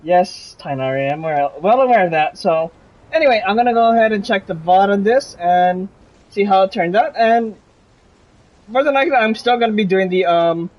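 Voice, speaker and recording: A man's voice: high-pitched, lively intonation, moderate pace, rough recording. A man giving casual livestream commentary on a game, hesitant and rambling, with sentences that trail off. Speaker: game streamer. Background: soft game music.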